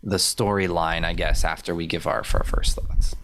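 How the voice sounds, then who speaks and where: A man's voice: low-pitched, fairly even intonation, moderate pace, fairly bright tone, a little hiss or hum. A man co-hosting a podcast, losing his voice, talking hesitantly with many fillers and restarts. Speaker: podcast co-host. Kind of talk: casual podcast chat.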